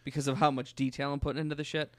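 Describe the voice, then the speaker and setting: Clear-voiced man in dry, casual chat. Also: mid-range voice, level tone, very fast, no echo. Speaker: podcast co-host and drummer.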